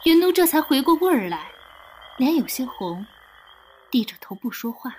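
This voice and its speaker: Female narrator for scripted audio drama, slightly high, animated delivery. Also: normal speed, slight background noise.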